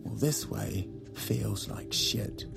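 An actor playing a hurt, defensive husband in an audio drama, sullen and gravelly. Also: male voice, on the low side, slow pace, loud music behind the voice, heavily acted. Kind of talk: naturalistic audio drama acting.

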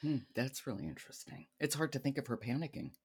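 A woman's voice: rumbling low, quick pace, a little mellow, breathy edge. A woman hosting a podcast, interviewing in a conversational style. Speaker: podcast host.

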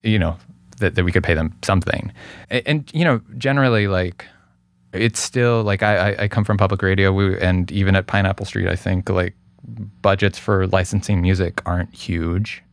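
Deep, gravelly man explaining casually. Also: steady tone, normal speed, no echo, clean studio sound. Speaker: interview guest, podcast producer.

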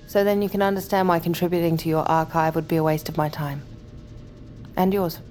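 A woman's voice: low voice, steady tone, fairly quick, dark tone, soft background music, natural voice. An actress playing a weary, blunt and dismissive woman in a naturalistic audio drama. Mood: tired, scornful.

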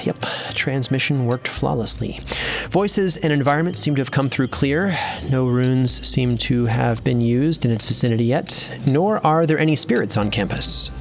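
An earnest, chatty inventor played by a man in a fantasy audio drama. He has a medium-pitched voice and a hesitant, slightly awkward, rambling delivery, with faint music underneath. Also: moderate pace, hardly any pauses, natural voice. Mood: focused, self-noting. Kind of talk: naturalistic audio drama acting.

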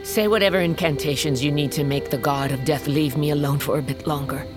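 A woman's voice: low voice, a little fast, lightly acted. Proud, weary warrior queen voiced by an actress. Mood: defiant. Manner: weighty, regretful, rough-tongued. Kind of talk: fantasy audio drama acting. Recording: music underneath.

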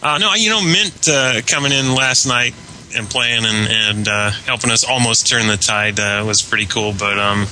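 A man's voice: medium pitch, moderate pace, bright, forceful tone, a little hiss or hum, low-quality recording. A man chatting casually as a guest on a podcast. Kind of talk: casual chat.

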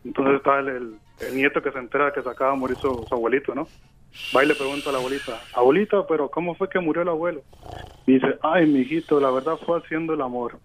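A man calling in to a radio show, telling a joke in a laid-back way with halting restarts. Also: medium pitch, steady tone, faint music behind. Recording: dull lo-fi phone line.

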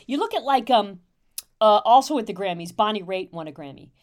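A woman co-hosting a casual radio chat, chatty and expressive with frequent agreement. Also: mid-range voice, fairly quick.

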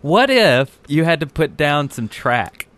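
A man's voice: fairly high pitch, big pitch swings, slow, fairly bright tone. A man co-hosting a gaming podcast in casual, conversational chat. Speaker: podcast co-host.

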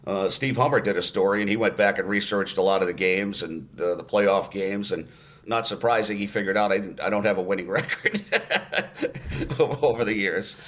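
A man in his late sixties talks through his memories at an easy, unhurried pace. His voice sits low, and he often trails into fillers before picking the thought back up. He sounds like a seasoned radio announcer chatting in a podcast. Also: lively intonation, a bit bright. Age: 60s. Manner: unhurried storyteller with many fillers and restarts. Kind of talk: relaxed reminiscing in an interview.

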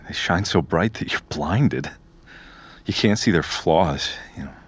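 Deep-voiced, terrified captive narrator. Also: male voice, expressive, unhurried, slightly rough, full character voice. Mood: subdued, confiding. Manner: clipped present-tense inner monologue, swearing. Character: terrified, wired male captive narrating his ordeal. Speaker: narrator and lead character. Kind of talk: first-person scripted audio drama narration. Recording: loud music bed under the voice. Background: dramatic music.

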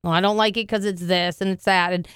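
A woman with a raspy, deep voice, chatting casually as podcast co-host, loud and quick to blurt things out. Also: fairly even intonation, no room sound, clean studio sound. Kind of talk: casual chat. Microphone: studio mic with headphones.

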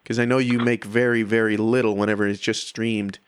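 A man's voice: lowish voice, level tone, brisk, clean tone, no room sound, studio quality. A man speaking as a music podcast host and interviewer in a casual, conversational style.

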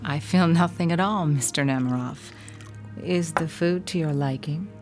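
A woman's voice: low voice, normal speed, music in the background, close to an everyday voice. A woman playing an eerie, pale housewife. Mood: eerily calm. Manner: soft, eerie and detached. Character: strange, pale, quiet housewife.

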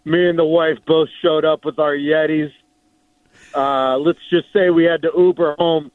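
A man's voice: on the high side, fairly even intonation, unhurried, dry recording. A man phoning in to a radio show with a casual anecdote over a slightly muffled line. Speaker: radio call-in listener. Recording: slightly muffled phone call, dry.

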